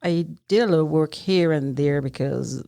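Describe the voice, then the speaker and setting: A middle-aged woman with a Jamaican accent and a deep voice talks as a podcast guest. She tells personal stories conversationally, recorded dry and close. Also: moderate pace, gravelly, dark tone, no room sound.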